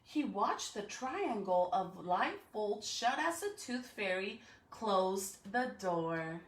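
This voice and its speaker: A woman's voice: medium pitch, sing-song, takes it slowly. A woman speaking expressively to young students as a school educator doing a storybook read-aloud. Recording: echoey room.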